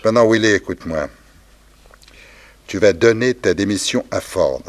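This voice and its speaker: A deep-voiced male gang boss played by an actor in a vintage radio crime drama. He gives orders deliberately, with cold authority and a sly, ironic menace. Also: natural voice. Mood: sly, calculating. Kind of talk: 1960s French radio crime serial acting.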